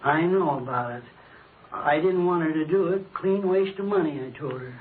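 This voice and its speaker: A man acting an anxious old husband held as a murder suspect in an old-time radio drama, plaintive and halting. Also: fairly high pitch, natural voice. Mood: uneasy, explaining. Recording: tinny, noisy vintage radio recording.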